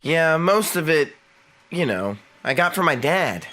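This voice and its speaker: A man's voice: fairly high pitch, big pitch swings, unhurried, sharp tone, heavily acted. A man voicing a shy, nerdy teenage boy who hesitates and trails off. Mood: timid. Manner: hesitant and mumbling. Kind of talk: fan dub voice acting.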